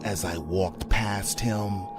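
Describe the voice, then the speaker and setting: A man reading a scripted first-person horror story in a hushed, often breathy delivery. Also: low voice, fairly even intonation, slow. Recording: prominent background music under voice. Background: music.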